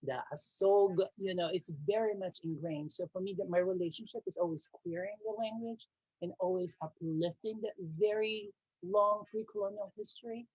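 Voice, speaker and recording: Husky-voiced Filipina panelist, dry sound. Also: female voice, mid-range voice, normal speed, darkish tone, no room sound, rough recording. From Philippines. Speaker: panel guest. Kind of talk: casual panel discussion.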